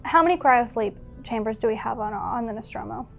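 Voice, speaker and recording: A woman's voice: a little high, brisk, vocal fry, a bit bright, faint music behind. A woman talking casually as a player in a tabletop roleplaying game. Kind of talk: casual in-character table talk.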